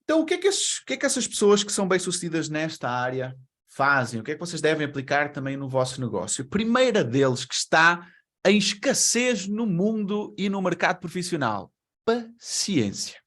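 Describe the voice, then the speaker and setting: A man's voice: on the high side, very animated, brisk, fairly bright tone. A man with a European Portuguese accent, born in Brazil, giving a webinar talk in a conversational way and asking rhetorical questions. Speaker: webinar speaker. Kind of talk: presentation to an online audience.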